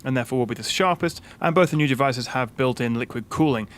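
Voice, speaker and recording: A man's voice: mid-range voice, fast talker. A young British man hosting a tech channel, talking through a product setup in a chatty way, with frequent "uh" fillers.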